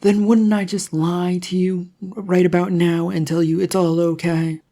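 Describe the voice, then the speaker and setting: A narrator voicing a brisk, cocky, evasive woman rescuer in an adventure audiobook, with a breezy, dismissive manner. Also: medium pitch, little pitch movement, mellow, pitched above the performer's own voice, close to an everyday voice. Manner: breezy, dismissive, self-assured.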